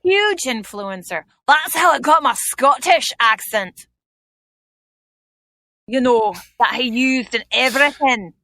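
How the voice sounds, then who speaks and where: A woman's voice: high-pitched, lively intonation, slow pace, pushed and bright, no room sound, studio quality. A woman comedian chatting as a guest on a podcast, reminiscing with plenty of fillers. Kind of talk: casual podcast interview.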